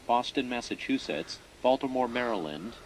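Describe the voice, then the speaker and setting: A man playing a stern government alert announcer, reading a scripted emergency message in a formal, deliberate way. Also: mid-range voice, lively intonation, natural voice. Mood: stern, official. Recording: noisy broadcast-style recording.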